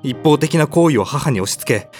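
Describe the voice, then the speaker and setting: A man playing a timid, cowardly young mortal hero in a comedic audio drama, polite but whiny, flustered and quick to protest. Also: a little high, lively intonation, darkish tone, faint music behind, close to an everyday voice. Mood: downcast.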